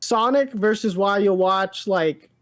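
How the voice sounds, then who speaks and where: A woman's voice: mid-range voice, measured pace. A woman co-hosting a pop culture podcast, chatting casually about movies in a rambling way, often repeating and interrupting herself. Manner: rambling, frequent self-interruptions and repeated words. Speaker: podcast co-host.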